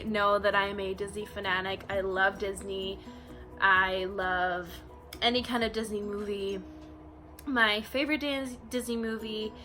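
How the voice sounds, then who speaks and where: A young woman in her early twenties chats casually to her camera, full of ums and "you know"s. Her voice is bright and middle-pitched, and a soft bed of music plays quietly underneath. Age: 20s. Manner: chatty, frequent fillers like um and you know. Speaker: vlogger. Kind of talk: casual facts-about-me vlog.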